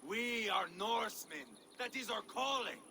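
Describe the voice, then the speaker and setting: An actor playing a sadistic, gleeful middle-aged Norse warrior in a video game, gravelly and theatrical, taunting and unstable. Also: male voice, expressive, a little slow, sharp tone, exaggerated voice. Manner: theatrical, swinging from low menace to roaring. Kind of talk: dramatic video game acting. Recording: noisy game ambience behind the voice.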